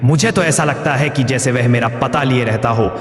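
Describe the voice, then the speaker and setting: A man's voice: mid-range voice, normal speed, close to an everyday voice. A man voicing a stern, proud, aging lion king in an animal cartoon, speaking emphatically in an admonishing, commanding way. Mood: firm, reassuring. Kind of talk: animated moral story dialogue. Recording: echoey, long reverb.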